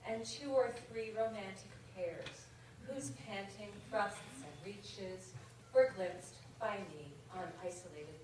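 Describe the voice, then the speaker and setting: A woman poet reading her own comic verse aloud at a conference, with measured comic timing. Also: mid-range voice, background noise. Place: indoor conference reading room. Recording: very echoey room from far off. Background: audience laughter.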